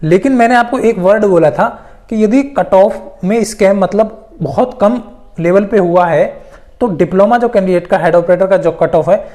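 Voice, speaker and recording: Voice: medium pitch, normal speed, mellow. Explainer, emphatic and repetitive. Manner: repetitive, emphatic explaining with English loanwords mixed in. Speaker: presenter of exam news updates. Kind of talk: informal explainer addressed to exam candidates.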